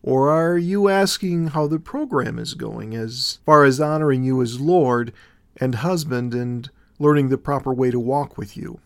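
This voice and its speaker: A man's voice: mid-range voice, a little slow, no echo, clean studio sound, natural voice. A man voicing an anxious, defensive young woman who stammers and protests nervously. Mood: flustered.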